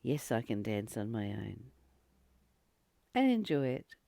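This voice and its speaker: A woman giving a spiritual teaching, reading and commenting informally in an earnest, deliberate way, with frequent pauses and emphatic repetition. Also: rumbling low, very animated, creaky, dark tone, dry recording. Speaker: spiritual teacher and channel host.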